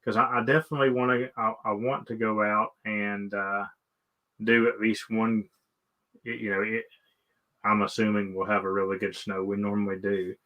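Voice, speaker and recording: A man's voice: fairly low pitch, flat delivery, moderate pace, sharp tone, dull audio. A man with an American accent hosting a casual chat, asking questions in a folksy, plain-spoken way. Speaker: live stream host.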